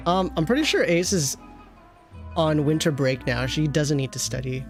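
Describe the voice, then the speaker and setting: A woman's voice: low-pitched, normal speed. A woman streaming a game, chatting casually with offhand, playful asides. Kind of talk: casual livestream chat. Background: faint game music.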